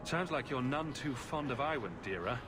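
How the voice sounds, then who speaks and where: A man's voice: medium pitch, expressive, normal speed, over music, natural voice. An actor playing a flippant, sardonic male adventurer in a video game. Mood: questioning.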